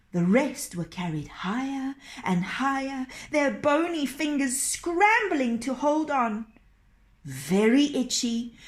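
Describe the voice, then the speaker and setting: A woman narrating a children's story in an expressive, dramatic and deliberate way. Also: high-pitched.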